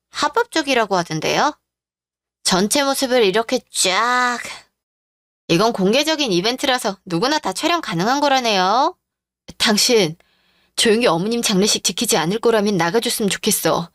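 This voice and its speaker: A woman performing a radio drama story, speaking in the wife's role with firm, composed indignation. Her voice sits somewhat high, and the recording is dry and close, with no room sound. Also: dry recording. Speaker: narrator and wife character.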